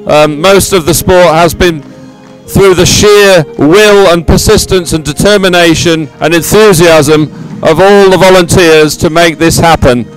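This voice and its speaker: A man with a fairly high voice gives live commentary at a sporting event. He speaks haltingly, filling time as he waits, with faint music behind him. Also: poor audio. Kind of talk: live commentary on results and medal ceremony.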